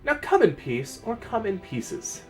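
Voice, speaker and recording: Man playing a woman pirate captain, raised pitch, barking, menacing, scornful, storybook adventure, music behind. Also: wildly swooping pitch, unhurried, darkish tone, exaggerated voice.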